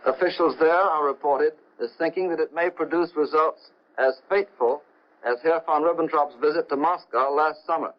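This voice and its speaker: A man reporting overseas news on 1940s radio in a measured, formal way with occasional hesitations. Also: a little high. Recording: dull, lo-fi 1940 shortwave radio broadcast.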